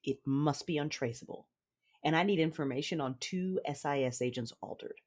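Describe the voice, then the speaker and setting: An actress playing a cold, commanding Sith mother who narrates her own story in a measured, menacing, controlled manner. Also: low voice, darkish tone. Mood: calculating, controlled. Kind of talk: first-person audio drama narration with dialogue. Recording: music under the voice.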